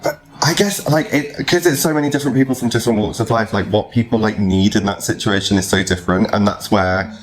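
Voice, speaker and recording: A podcast host in casual interview mode. The delivery is animated and emphatic, at a middling pitch, with an easy, chatty flow. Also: fast, poor audio.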